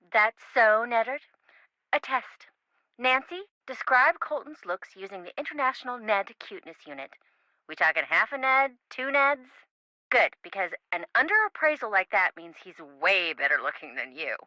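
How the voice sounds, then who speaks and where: A woman's voice: a little high, pushed and bright, dry recording, full character voice, low-quality recording. An actress playing a sassy young woman, a wisecracking best friend in a video game, with a teasing, sarcastic manner. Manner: teasing, sarcastic, dryly exaggerated.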